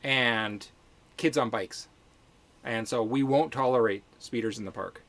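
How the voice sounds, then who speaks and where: A man's voice: mid-range voice, measured pace, fairly bright tone. A man co-hosting a casual live-stream chat in a chatty, emphatic way. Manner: chatty, emphatic, jokes along. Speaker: co-host and workamper.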